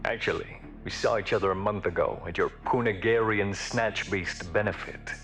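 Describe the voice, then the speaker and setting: An actor playing a stern, honorable veteran alien admiral in a video game, speaking in a formal, measured, authoritative way. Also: male voice, lowish voice, creaky, a bit bright, faint music behind. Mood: dry, polite. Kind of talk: video game cutscene acting.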